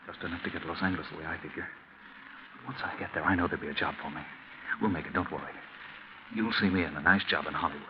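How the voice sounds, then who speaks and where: An actor as low-voiced, slangy young traveler. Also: male voice, very fast, natural voice. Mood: uneasy, reassuring. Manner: plain, slangy, earnest. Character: ordinary young husband, stranded traveler, slangy and reassuring. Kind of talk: 1940s radio drama acting. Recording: muffled, noisy 1940s radio recording.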